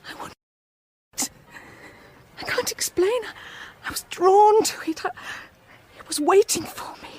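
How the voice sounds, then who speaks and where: Woman acting scared haunted wife in an audio drama, really high voice, breathy and halting, kinda intense. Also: a little mellow. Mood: haunted, fearful. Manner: breathy, halting, intense, often near whispering. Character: frightened, haunted wife, fixated on a phantom boat.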